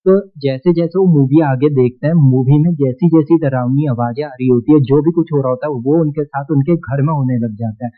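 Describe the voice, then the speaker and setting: A man's voice: medium pitch, brisk, few pauses. A man with an Indian accent hosting a countdown video in casual, explanatory narration. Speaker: video host. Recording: muffled, narrow-band sound.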